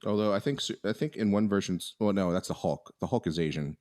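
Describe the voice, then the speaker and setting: A deep-voiced young man holds forth on a casual podcast. He speaks animatedly and with strong opinions, often restarting his sentences as his thoughts race ahead. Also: fast talker, a little mellow. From United States. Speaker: podcast co-host.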